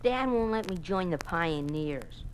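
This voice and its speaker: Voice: mid-range voice, expressive, unhurried, slight background noise, close to an everyday voice, poor audio. An actor with an American accent playing an eager, slangy twelve-year-old Boy Scout in a 1950s radio drama. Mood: pleading, keen. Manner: boyish slangy talk, eager.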